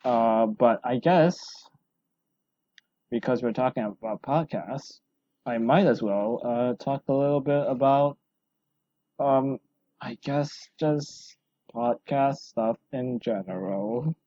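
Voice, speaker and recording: A man hosting a solo podcast, rambling and self-deprecating, his voice hoarse from talking a long time. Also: mid-range voice, slow, warm tone, dry recording, highs cut off. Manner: rambling, self-deprecating, full of fillers and restarts. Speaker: podcast host.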